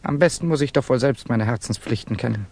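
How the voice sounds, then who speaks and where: An actor playing a guarded, proud young Russian student in a radio drama, curt and mistrustful, with a creaky voice. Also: male voice, mid-range voice, quick pace, darkish tone. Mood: guarded, bitter. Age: young adult.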